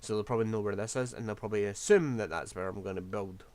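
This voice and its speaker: A man's voice: on the low side, fast talker, darkish tone. A man, rambling through casual gameplay commentary while losing his voice. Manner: rambling, scatterbrained, self-deprecating. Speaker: game streamer.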